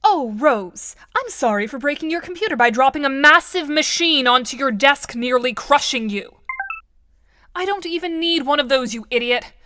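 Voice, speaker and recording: A woman's voice: sits high, animated, moderate pace, noisy background. A woman playing a bossy, sarcastic teenage girl in an audio drama, scolding in a composed way.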